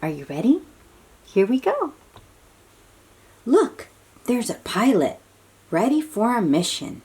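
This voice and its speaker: A woman reading a picture book aloud to young children in an animated, breathy, questioning way, drawing out her words. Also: fairly high pitch. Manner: animated, drawn-out, questioning delivery aimed at little children. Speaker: children's read-aloud host.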